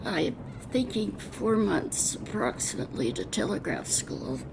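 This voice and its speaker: Slow, creaky elderly American woman. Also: medium pitch, animated. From Washington State, United States. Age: older. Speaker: oral history interviewee. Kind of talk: unscripted personal reminiscence. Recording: clear vehicle and ambience noise behind. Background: added steam train sound effects.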